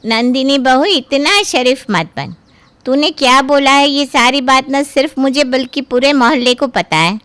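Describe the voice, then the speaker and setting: A woman's voice: high-pitched, unhurried, a bit bright, no echo. A woman playing a blunt, reproachful middle-aged neighbourhood auntie in a cartoon story. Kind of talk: cartoon voice acting.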